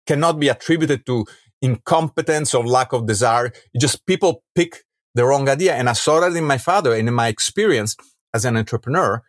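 A man's voice: medium pitch, fairly quick, no room sound, studio quality. A man with an Italian accent speaking conversationally as an interview guest, emphatic and often checking for agreement. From Rome, Italy. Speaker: podcast guest, entrepreneur and author.

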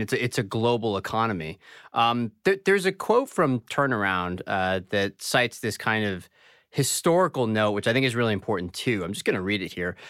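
Smooth-voiced male interviewer, dry sound. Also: mid-range voice, fairly bright tone, no echo. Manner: thoughtful, hedging with fillers. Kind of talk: conversational interview.

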